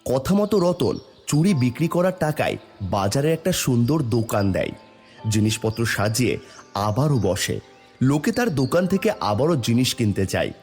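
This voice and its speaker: A man tells a scripted fable as narrator. His voice sits at a medium pitch, with music playing under the narration. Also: sing-song, moderate pace, darkish tone.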